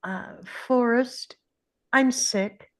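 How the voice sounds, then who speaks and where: Casting: gentle young mother read by a woman, highish voice, unhurried, candid, plainly delivered. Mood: grave, hesitant. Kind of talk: informal screenplay table read.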